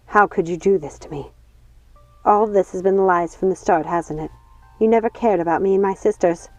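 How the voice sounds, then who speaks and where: A woman's voice: mid-range voice, moderate pace, no echo, lightly acted. A woman voicing a wounded, bitter witch in a storybook, accusing and aggrieved. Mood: betrayed, accusing. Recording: dull lo-fi sound, music underneath.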